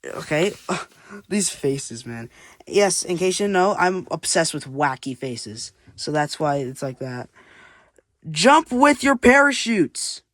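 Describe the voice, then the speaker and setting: A casual creator narrates a homemade comic in a mid-pitched voice. The delivery rambles, with frequent unsure asides. The sound is dry, with very little room in it. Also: unhurried, no echo. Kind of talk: casual read-aloud commentary.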